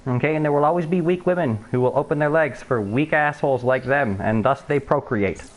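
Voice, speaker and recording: A man with a high-pitched voice, streaming live and chatting over voice chat in a profane, emphatic way, often restarting his sentences. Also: fairly quick, rough recording. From Canada. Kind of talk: rambling voice chat.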